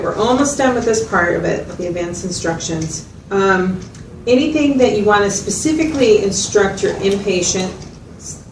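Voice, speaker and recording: A woman's voice: fairly low pitch, darkish tone, lightly acted. A woman playing a patient, methodical mental health clinician in a role-played consultation, explaining and checking understanding with questions. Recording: echoey, distant mic, noisy room.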